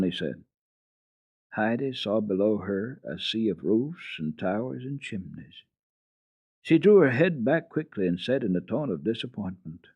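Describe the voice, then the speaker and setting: A man giving an expressive, deliberate dramatic reading of children's literature as a radio storyteller. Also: lowish voice, breathy edge. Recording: dull phone-like old radio recording, roomy echo.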